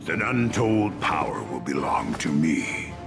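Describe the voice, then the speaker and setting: An actor playing a cold, imperious alien overlord in a video game, speaking with deliberate menace. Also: male voice, rumbling low, very animated, gravelly, full character voice. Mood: imperious, menacing. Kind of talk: video game cutscene acting. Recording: loud music over the voice.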